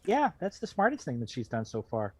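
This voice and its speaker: A man's voice: medium pitch, huge pitch swings, brisk, husky. A man co-hosting, chiming in with casual banter and commentary on a reading.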